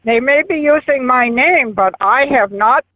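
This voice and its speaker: A very high-pitched woman in her eighties comes through a tinny phone line. She sounds flustered and halting as she protests in an unscripted conversation. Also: dry recording. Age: 80s. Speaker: phone call recipient.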